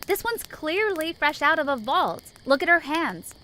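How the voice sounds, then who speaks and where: A woman plays a flippant, friendly female army sergeant in a post-apocalyptic audio drama. The voice is high and very lively, swinging around as it chatters and teases. It has a bright, forward edge. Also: measured pace, slight background noise, heavily acted. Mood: breezy, friendly. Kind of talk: fan audio drama acting.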